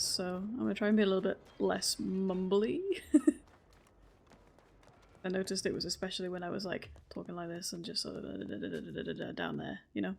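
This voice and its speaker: A woman streamer chatting casually while she plays, relaxed and self-deprecating, swearing now and then and laughing often, a deep voice at a desk mic set back a little. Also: vocal fry, a little hiss or hum. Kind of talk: casual livestream chat while playing. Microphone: desk mic moved a little further from her face.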